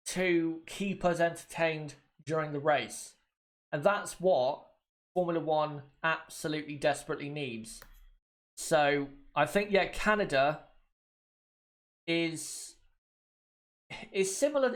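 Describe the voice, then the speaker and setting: A man with a bit of a cold, chatting casually to viewers as a livestream host and often repeating his points. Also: a little high. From United Kingdom. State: has a cold. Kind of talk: casual watchalong commentary.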